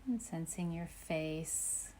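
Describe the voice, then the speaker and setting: A woman meditation teacher leading a guided meditation with gentle, unhurried instructions and long pauses. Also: low-pitched, slight background noise.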